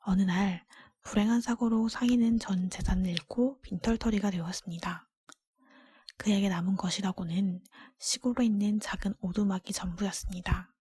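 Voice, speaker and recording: Female ASMR storybook reader, fully whispered and airy, mellow tone, gentle measured delivery, intimate dry close-mic sound. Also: mid-range voice, steady tone, no echo. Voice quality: breathy, unvoiced whisper. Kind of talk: whispered ASMR fairy-tale reading. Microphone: close microphone, dry.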